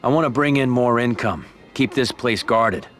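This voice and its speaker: Actor as loyal, mid-pitched mafia underboss turned boss. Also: male voice, moderate pace, a bit bright, faint music behind, close to an everyday voice. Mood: determined. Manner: plain, direct. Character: loyal, businesslike young mafia boss, adult man. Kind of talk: video game cutscene acting.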